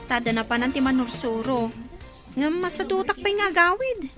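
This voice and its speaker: A woman plays a young student daughter who would do anything to avoid work. Her voice is extremely high and jumps about in pitch. She whines and complains in the manner of a radio comedy drama. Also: animated, normal speed, over music. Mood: whiny, complaining.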